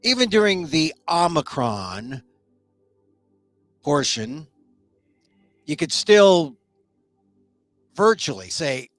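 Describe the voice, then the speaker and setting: Male talk-show host, middle-aged, rambling and chatty, slightly high voice, animated. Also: slow pace, pauses often, no echo, soft background music. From United States. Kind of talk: casual solo talk show.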